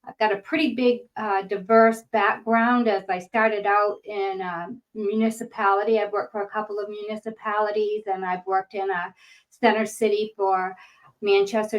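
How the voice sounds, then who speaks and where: A woman's voice: mid-range voice, dull audio. An older woman from northern Maine with a Boston accent, teaching a grant-writing webinar in a chatty way with many ums and uhs. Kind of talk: webinar presentation. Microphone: webinar microphone.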